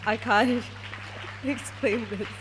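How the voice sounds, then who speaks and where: A woman's voice: fairly high pitch, steady tone, extremely slow, background noise. A woman from Malaysia, a research scientist, telling a personal story to an audience as a testimonial.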